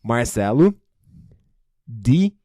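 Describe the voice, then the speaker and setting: A man's voice: medium pitch, very animated, drawn-out words, dark tone, dry recording, clean studio sound. A man from Brazil with a Brazilian accent, a native Portuguese speaker, chatting casually as a podcast guest. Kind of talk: casual group chat.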